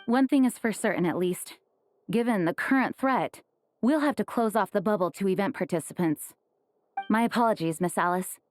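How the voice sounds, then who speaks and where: An earnest woman leader from a video game voiced by an actress, with a medium-pitched voice. She sounds formal, sincere and a bit high-strung, with light music underneath. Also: moderate pace, lightly acted. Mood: resolute. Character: earnest, dutiful, slightly stiff woman leader. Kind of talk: animated game dialogue.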